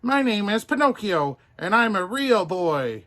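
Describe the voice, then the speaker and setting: Man putting on an excitable high-pitched puppet boy. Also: unhurried, full character voice. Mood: indignant. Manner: excitable, exclaiming. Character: eager, naughty young wooden puppet boy.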